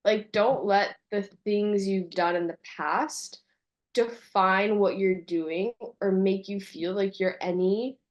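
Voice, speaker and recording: Reflective young woman, lots of "like". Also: medium pitch. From California, USA, and Colombia. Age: young adult. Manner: reflective, says "like" often, slows to stress words. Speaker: guest, university student abroad. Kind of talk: casual interview chat.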